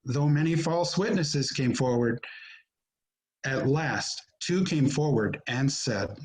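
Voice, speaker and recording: A man narrating scripture from a script in a deliberate, solemn manner. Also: medium pitch, expressive, poor audio. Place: remote recording on a video call. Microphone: video call.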